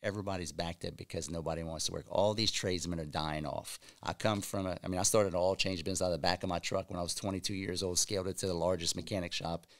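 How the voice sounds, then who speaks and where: A man's voice: sits low, steady tone, fast, hardly any pauses. A man, hosting a casual studio podcast conversation, emphatic and prone to repeating himself. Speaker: podcast host. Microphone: boom mic on stand.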